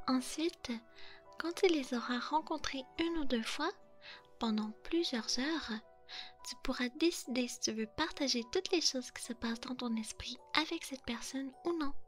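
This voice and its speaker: A woman playing a polite, thoughtful fox spirit in an ASMR roleplay, speaking softly and earnestly with a breathy, measured delivery. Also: high voice. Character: thoughtful, polite female kitsune fox spirit, gently lecturing.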